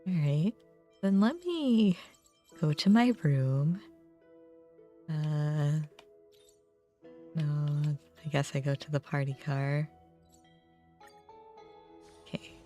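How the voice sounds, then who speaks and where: Woman streaming over clearly audible game music. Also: deep voice, very slow, long pauses, warm tone. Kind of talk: live game playthrough, reading dialogue aloud with casual reactions. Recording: music in the background.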